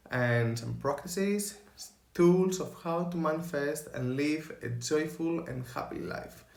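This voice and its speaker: A young man with a Greek accent, talking earnestly to camera in slow, halting speech as he searches for words. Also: mid-range voice, echoey room. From Greece. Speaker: vlogger.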